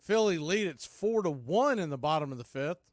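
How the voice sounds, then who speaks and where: A man's voice: on the high side, expressive, normal speed, no echo, clean studio sound. A man working as a baseball announcer, giving live play-by-play commentary mixed with score updates in clipped phrases.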